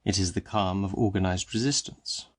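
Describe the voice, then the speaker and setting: A man voicing a calm, solemn, educated policeman who speaks in a slow and measured way. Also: low-pitched. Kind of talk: audiobook character reading.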